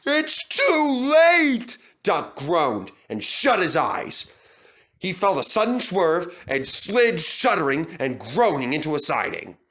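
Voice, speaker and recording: A man's voice: a little high, a bit bright. A man narrating a scripted children's story in a dramatic style.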